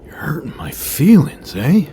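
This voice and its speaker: An actor playing a brash warrior henchman in a fantasy audio drama, boastful and rough in manner. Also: mid-range voice, big pitch swings, measured pace, warm tone, whispered. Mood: boastful, indignant. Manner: boastful, rough, mock-offended.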